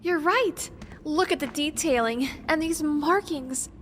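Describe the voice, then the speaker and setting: A woman's voice: sits high, a little slow, a bit bright. A woman playing a careful, bookish young researcher in an audio drama, earnest and precise, hesitant when unsure. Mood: intrigued. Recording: faint background noise.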